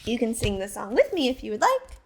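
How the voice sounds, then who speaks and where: Woman librarian for a kids' read-aloud, high and very animated, unhurried pace. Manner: slow, expressive storytelling that asks the listener questions. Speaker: teacher librarian. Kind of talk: reading a picture book aloud to children.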